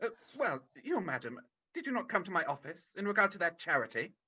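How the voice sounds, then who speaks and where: A man playing an effusive old Victorian gentleman in a radio play read over a video call, excitable and theatrical. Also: sits high, brisk, music in the background. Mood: effusive, delighted. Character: old Scrooge, reformed miser, gleeful and effusive. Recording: dull video-call audio.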